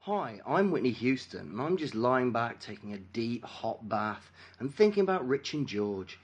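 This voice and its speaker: A man voicing a sultry female pop diva lying in a bath, in a low, breathy, mock-seductive way. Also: big pitch swings, normal speed, dull audio, a little hiss or hum, natural voice.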